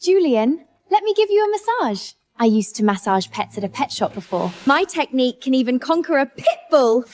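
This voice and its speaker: A woman voicing a bubbly, pushy young woman who teases and dotes on a sulky young man in a dubbed animated drama. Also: high voice, moderate pace, few pauses, a little hiss or hum. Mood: coaxing. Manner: sing-song, teasing and exuberant.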